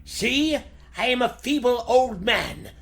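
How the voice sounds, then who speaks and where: A man playing a feeble, elderly, excitable scientist with a grandiose, formal delivery in a 1940s radio serial style. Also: very high-pitched, slow, creaky, exaggerated voice. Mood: excited, triumphant. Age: older.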